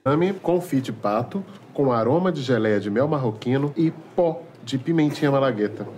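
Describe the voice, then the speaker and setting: An actor playing a waiter who announces dishes with ceremony. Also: male voice, medium pitch, animated, moderate pace, darkish tone, a little hiss or hum, lightly acted. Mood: ceremonious.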